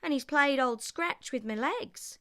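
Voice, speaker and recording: A woman's voice: high-pitched, expressive, measured pace, bright, forceful tone, voice pushed up, heavily acted. A woman voicing a tired, aggrieved old male kangaroo in a storybook, plaintive and emphatic. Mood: indignant. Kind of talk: storybook character voice.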